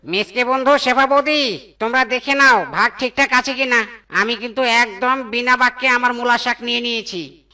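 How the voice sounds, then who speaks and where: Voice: medium pitch, moderate pace, pushed and bright. A performer voicing a scheming, falsely friendly male monkey with a wily, wheedling manner in a comic cartoon. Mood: pushy.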